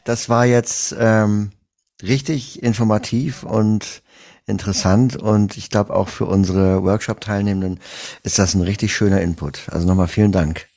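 A man speaks as an interviewer. His voice sits fairly low, and his delivery is conversational. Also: flat delivery, moderate pace, a little mellow. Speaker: interviewer and workshop host.

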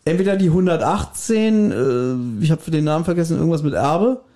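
Casual male podcast host, higher-pitched mellow voice. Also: animated, fairly quick. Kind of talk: casual chatty podcast banter. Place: guest's apartment, indoors.

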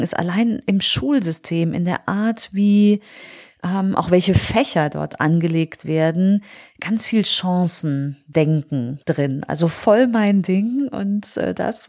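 A woman's voice: fairly low pitch, a little mellow. A woman in her forties with a cold, hosting a motivational solo podcast and speaking earnestly and encouragingly to the listener. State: has a cold. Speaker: podcast host. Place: on holiday indoors.

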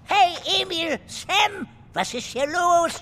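A woman's voice: extremely high pitch, animated, slow, pushed and bright, full character voice. A woman playing an impatient, self-important toy captain in a children's audio drama, complaining theatrically in rhyme. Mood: searching, indignant.

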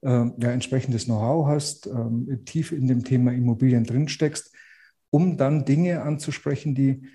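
A man with a Bavarian accent speaks as a podcast guest, in a very dark, mellow voice. He is outspoken but hesitant, restarting his sentences often. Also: medium pitch, unhurried. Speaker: real estate agent, interview guest. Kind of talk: podcast interview.

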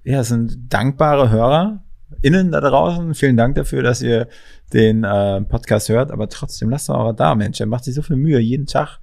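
Host, male, gravelly, mid-pitched, jokey and teasing. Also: moderate pace, darkish tone. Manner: joking, teasing, casual slang. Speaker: podcast host. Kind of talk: casual interview chat.

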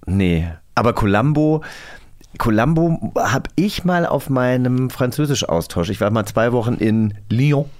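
A man's voice: medium pitch, lively intonation, unhurried. A man in his forties chatting casually as a podcast host, joking and telling anecdotes. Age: 40s. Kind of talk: casual chat.

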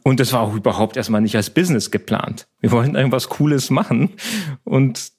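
A man whose first language is Polish, a lawyer and founder, answering interview questions in a relaxed, chatty way. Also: mid-range voice, fairly quick, slightly rough. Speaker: lawyer and app founder, guest.

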